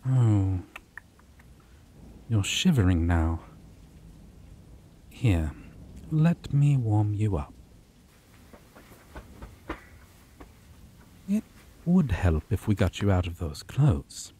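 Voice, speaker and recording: A man voicing a possessive, menacing male vampire in an ASMR roleplay, talking low and teasing and dropping to whispers. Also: sing-song, slow pace, pauses often, warm tone, slight background noise. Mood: soothing.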